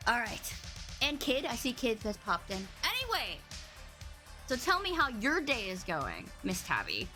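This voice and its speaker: A bubbly woman hosts a casual streamed podcast. Her voice is big and boomy, and her pitch swings all over the place. Faint music plays underneath. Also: wildly swooping pitch, unhurried, sharp tone. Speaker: podcast host. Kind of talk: casual live-stream chat. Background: faint background music.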